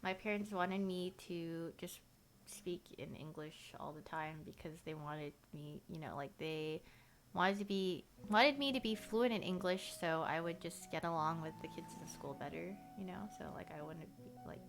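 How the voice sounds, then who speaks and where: A woman's voice: lowish voice, normal speed, slight background noise. A young woman whose first language is Korean, livestreaming casual chat with viewers. She rambles, fills her talk with "like," and laughs often.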